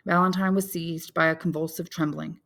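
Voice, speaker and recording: A woman's voice: fairly low pitch, fairly even intonation, fairly quick, fairly bright tone. A woman reading a novel aloud as a scripted audiobook narration. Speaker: audiobook narrator, English teacher.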